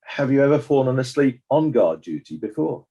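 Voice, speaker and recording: A man's voice: mid-range voice, very animated, mellow, highs cut off. A man playing a stern, sceptical coroner who questions witnesses formally in a dramatized inquest. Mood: sceptical, probing. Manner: formal, measured, probing. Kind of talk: scripted courtroom drama.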